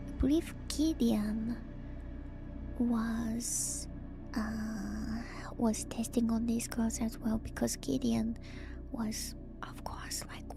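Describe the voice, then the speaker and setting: A woman streamer whispering softly and breathily in an ASMR style, musing gently and hesitantly through game lore commentary close to the microphone. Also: a little high, vocal fry. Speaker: streamer, VTuber host. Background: music.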